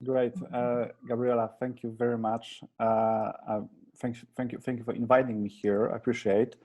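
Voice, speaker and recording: Soft-toned Polish man, hesitant. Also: medium pitch, flat delivery, moderate pace, highs cut off. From Poland. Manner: hesitant, many restarts and fillers, earnest. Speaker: interview guest, agency founder. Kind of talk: conversational interview.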